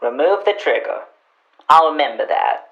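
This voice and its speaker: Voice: medium pitch, big pitch swings, moderate pace, creaky, a bit bright, lo-fi sound. A performer playing an eager, boastful young runaway boy with an American accent and folksy speech in a western radio drama. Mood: eager, curious.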